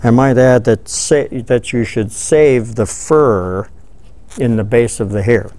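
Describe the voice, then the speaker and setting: An older man giving a relaxed, folksy fly-tying tutorial, thinking aloud as he works at a table in a studio. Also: mid-range voice, slow pace. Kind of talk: hands-on tutorial. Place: studio with dark backdrop, seated at table.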